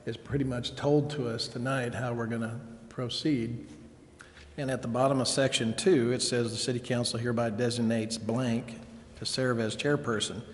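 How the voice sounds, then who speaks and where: A man's voice: mid-range voice, little pitch movement, moderate pace, slight background noise. A man speaking informally as a council member in a public meeting discussion. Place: indoor council chamber. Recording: very echoey council chamber.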